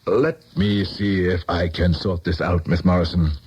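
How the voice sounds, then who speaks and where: Deep, gravelly-rough skeptical power broker. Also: male voice, steady tone, normal speed, darkish tone. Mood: dryly skeptical. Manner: dry, formal, methodical. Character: skeptical, powerful political boss puzzling things out. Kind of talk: 1970s radio mystery acting. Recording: muffled vintage radio broadcast.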